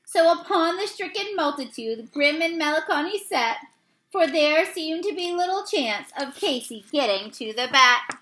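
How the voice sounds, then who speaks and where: A woman in her thirties reading a poem aloud from a picture book, a little haltingly, stumbling and correcting herself. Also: sits high, fairly bright tone, slight background noise, rough recording. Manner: halting, with misreadings and self-corrections. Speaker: read-aloud reader. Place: small indoor room.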